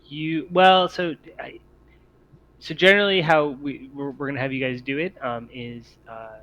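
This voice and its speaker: Deep-voiced male game master, muffled audio. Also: steady tone, moderate pace, fairly bright tone. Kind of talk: improvised tabletop game narration. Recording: dull lo-fi audio, faint noise.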